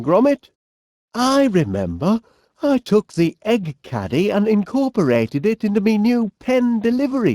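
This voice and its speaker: An actor playing a cheery, absent-minded middle-aged inventor with a Northern English accent, bumbling and chatty in an animated comedy game. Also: male voice, sits high, no echo, studio quality. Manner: bumbling, chatty, hesitant, mild exclamations.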